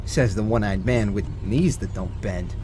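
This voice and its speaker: An actor playing a jittery, eccentric young cartoon bootlegger, wordy, theatrical and prone to rambling and hesitating. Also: male voice, on the low side, moderate pace, noisy background, lightly acted. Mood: rambling, hesitant.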